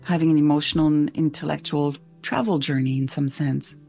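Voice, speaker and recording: A woman's voice: deep voice, steady tone, a little fast, vocal fry, mellow, slightly rough, soft background music. A woman who grew up in Switzerland and lives in Canada, hosting a thoughtful, casual conversation.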